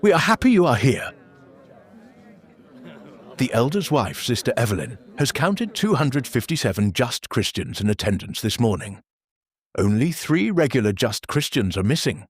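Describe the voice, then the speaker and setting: An actor playing a stiff, rule-bound male church minister who reads announcements in a formal, deadpan, bureaucratic way. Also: mid-range voice, moderate pace, breathy edge, dry recording. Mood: solemnly formal. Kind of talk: satirical audio drama.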